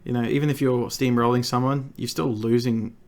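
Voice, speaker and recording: An Australian man hosting a casual podcast chat, croaky and gravelly from a recent cold, stammering through restarts. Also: mid-range voice, steady tone, a little fast, darkish tone. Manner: stammering restarts, laughs often. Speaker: podcast host.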